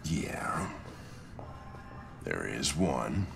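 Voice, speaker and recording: An actor playing a gruff, bitter middle-aged police lieutenant in a video game, with a gravelly, curt, hostile delivery. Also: male voice, a bass voice, big pitch swings, extremely slow, music in the background, exaggerated voice. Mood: curt, grudging.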